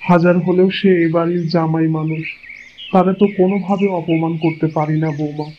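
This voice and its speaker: A man's voice: on the high side, level tone, fairly quick, close to an everyday voice. A man voicing a patient, kindly old village father-in-law in a cartoon fairy tale, speaking in a reassuring, homely way. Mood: resigned, advising. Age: older. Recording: muffled lo-fi audio, clear background noise.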